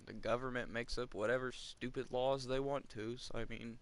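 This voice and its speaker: A man's voice: mid-range voice, steady tone, normal speed, dry recording. A man of twenty chatting casually while playing a video game, ranting and complaining with chuckles between remarks. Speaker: gamer. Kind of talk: casual rambling chat over gameplay.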